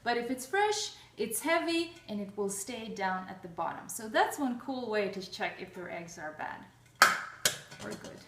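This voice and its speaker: A young woman from Russia talks through a recipe at an unhurried, measured pace, her voice a touch higher than most. She chats casually and halts often on fillers and restarts. The sound is roomy and echoey, with the mic sitting some distance from her in a home kitchen. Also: a little hiss or hum. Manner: chatty, halting, with many fillers and restarts. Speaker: cooking vlogger.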